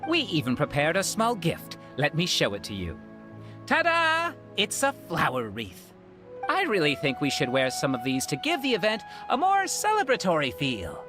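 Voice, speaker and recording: A woman's voice: deep voice, wildly swooping pitch, moderate pace. A woman voicing a playful young friend in a video game, breezy, chatty and fond of teasing. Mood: cheerful. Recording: music behind the voice. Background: music.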